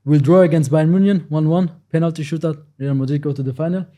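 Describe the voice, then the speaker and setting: A man's voice: on the high side, steady tone, fast, dark tone. A man from Switzerland, a panel guest, playful and teasing.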